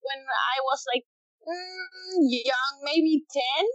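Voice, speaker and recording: A woman's voice: high voice, fairly bright tone, dry recording, muffled recording. A woman in her late twenties with a Chilean accent, talking casually in an interview and often stopping to restart her sentences. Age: late 20s. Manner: halting, many restarts and fillers. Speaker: guest, professional bodyboarder.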